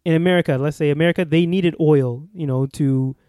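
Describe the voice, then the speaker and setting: A man's voice: fairly high pitch, a little fast, dark tone, dry recording, clean studio sound. A young man in casual conversation, earnest and thoughtful, restarting often and hedging with "like" and "I feel like". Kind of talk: casual chat.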